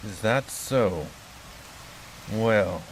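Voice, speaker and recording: Wary caretaker, lowish voice, laconic, unhurried, horror radio play, slight rainy hiss. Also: male voice, expressive, full character voice, low-quality recording. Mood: ominous. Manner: terse, unhurried, guarded. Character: wary, laconic, stoic male caretaker of a haunted house. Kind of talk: audio horror drama acting.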